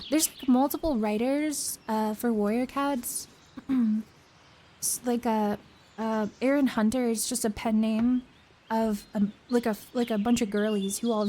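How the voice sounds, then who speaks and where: Hoarse young woman chatting on stream. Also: a little high, darkish tone, a little hiss or hum. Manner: chatty and rambling, with many fillers and giggles. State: hoarse, voice worn out. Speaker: streamer reading a book aloud. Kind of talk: casual stream chat after a read-aloud.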